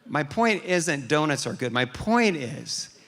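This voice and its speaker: A man delivers a sermon as a lively storyteller, often stumbling and restarting his phrases. His voice is bright and forceful, sitting slightly high for a man. He grew up in West Africa as an American. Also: slow pace. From grew up in Liberia, West Africa, as an American. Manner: storytelling, animated, with repeated restarts. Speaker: pastor.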